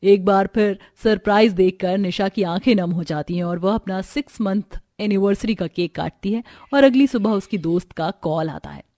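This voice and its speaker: A woman's voice: mid-range voice, moderate pace, hardly any pauses, warm tone, no echo. A woman narrating a scripted cartoon story.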